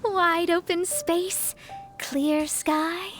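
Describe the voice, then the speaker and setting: Proud young female astrologist, high-pitched, self-important, brisk, anime game acting. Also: fairly even intonation, a bit bright, full character voice. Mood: businesslike. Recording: music behind the voice.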